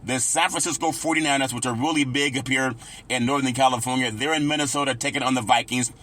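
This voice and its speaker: A man's voice: medium pitch, steady tone, fast talker, fairly bright tone. A man hosting a sports talk show, asking a guest questions and giving frequent short affirmations. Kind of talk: radio interview.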